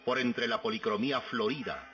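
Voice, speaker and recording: Male vintage radio drama narrator: mid-pitched, poetic and melancholic, muffled old recording with loud music. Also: moderate pace, far from the mic.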